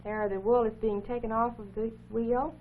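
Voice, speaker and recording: A woman's voice: fairly high pitch, fairly even intonation, normal speed. Woman on a humming, tinny old broadcast. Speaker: co-host of an educational program. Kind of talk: unscripted explanatory commentary over film footage. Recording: phone-like 1950s broadcast with faint hum.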